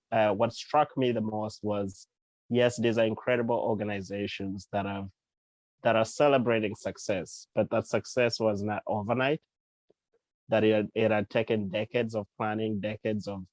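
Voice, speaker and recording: A man from Uganda, a guest author, talking through his ideas in a relaxed interview with frequent fillers and restarts. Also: lowish voice, no echo, low-quality recording. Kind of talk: casual interview talk.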